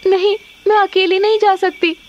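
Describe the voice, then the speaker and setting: A woman's voice: very high-pitched, level tone, moderate pace, dull audio, slight background noise, voice pushed up. A woman voicing a timid, pleading young snake-girl daughter in a cartoon story. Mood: frightened, pleading. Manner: plaintive, pleading.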